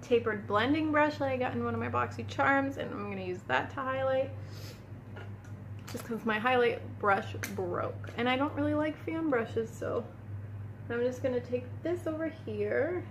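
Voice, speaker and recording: A woman's voice: a little high, moderate pace, slight background noise. A woman giving a casual makeup tutorial at home, chatting to viewers as she applies her products, sniffling a little. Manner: chatty, talks while applying makeup, addresses viewers as "you guys". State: sniffling from a cold room. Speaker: beauty vlogger. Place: indoor room at home.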